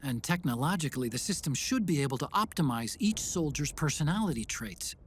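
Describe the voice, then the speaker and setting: A man's voice: on the high side, animated, fairly quick, slight background noise, natural voice. An actor playing an earnest, brainy male tech expert in a video game, speaking in an explanatory way. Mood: earnest, concerned. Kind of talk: video game cutscene acting.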